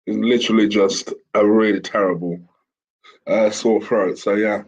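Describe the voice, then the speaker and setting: A man, hoarse from a sore throat, hosting a casual solo commentary show in a chatty, rambling way. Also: fairly low pitch, steady tone, normal speed. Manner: chatty, rambling with fillers and restarts. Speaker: host. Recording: dull lo-fi home recording with fan.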